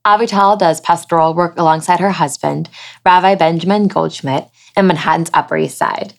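Gravelly low-voiced woman host. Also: moderate pace. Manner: upbeat, enthusiastic introductions. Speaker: interview host. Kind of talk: podcast interview hosting.

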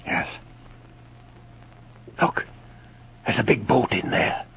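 An actor playing a wary, loyal marshal, hushed and plain-spoken, in a 1940s radio adventure serial. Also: male voice, slow pace. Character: wary, loyal marshal, the inspector's male sidekick. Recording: muffled, noisy old radio recording.

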